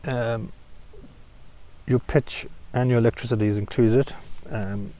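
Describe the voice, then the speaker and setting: A man with a South African accent talking casually in a vlog, working through points in a measured, conversational way. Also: mid-range voice, steady tone, dark tone. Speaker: vlogger. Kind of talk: casual vlog talk to camera.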